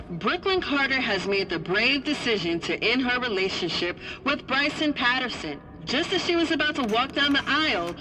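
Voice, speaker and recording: A woman playing a poised spokesperson giving a formal statement over a loudspeaker in an audio drama. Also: on the high side, background noise.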